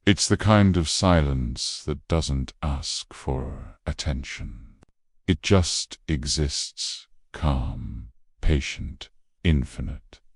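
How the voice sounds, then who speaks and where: Man, sleep story narrator, extremely deep voice, very slow, soft and hushed, dry close sound. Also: dry recording. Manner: slow, hushed, gentle delivery trailing off softly. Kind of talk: soothing scripted bedtime narration.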